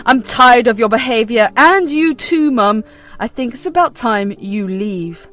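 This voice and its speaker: A woman's voice: high voice, moderate pace, faint music behind, close to an everyday voice. A woman voicing an adult husband who angrily defends himself and pleads to be believed. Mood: angry. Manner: forceful, pleading.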